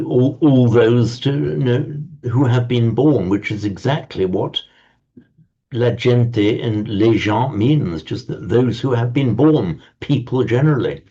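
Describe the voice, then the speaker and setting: A man's voice: mid-range voice, mellow, slightly rough, muffled recording. An older man teaching a language class, playfully pedantic and prone to stumbling repetitions.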